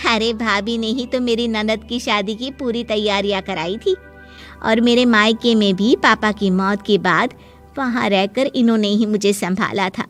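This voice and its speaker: A woman voicing a helpful, chatty neighbour and family friend in an animated moral story. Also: on the high side, unhurried, soft background music, close to an everyday voice. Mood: friendly.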